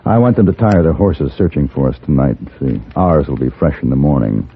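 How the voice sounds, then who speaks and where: An American actor, middle-aged, playing a cool, commanding gentleman gunfighter in a 1950s radio western, measured and dry. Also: a bass voice, expressive, breathy edge, exaggerated voice. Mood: calm, guarded. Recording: muffled, faintly hissy 1950s radio recording.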